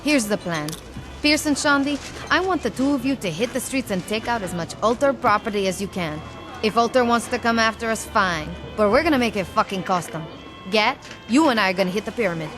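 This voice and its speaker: A woman's voice: a little high, animated, brisk, over music, close to an everyday voice. A woman playing a cocky, foul-mouthed gang boss in a video game, blunt, commanding and unbothered. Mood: determined, commanding. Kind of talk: video game cutscene acting.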